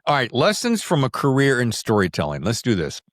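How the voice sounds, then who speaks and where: A man's voice: medium pitch, huge pitch swings, brisk, no room sound, clean studio sound. A man hosting a podcast interview, asking questions casually with jokey, emphatic phrasing.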